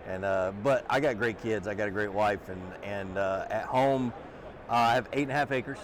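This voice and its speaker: A middle-aged man with a loud voice, talking earnestly as a podcast guest and repeating words as he thinks. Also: lowish voice, normal speed, slight background noise. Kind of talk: casual interview conversation. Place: trade show booth, outdoors.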